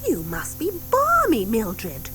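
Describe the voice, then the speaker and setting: A woman's voice: fairly high pitch, huge pitch swings, slow, a bit bright, soft background music, full character voice. A woman voicing a blunt, exasperated schoolgirl witch in a children's audiobook, indignant and emphatic.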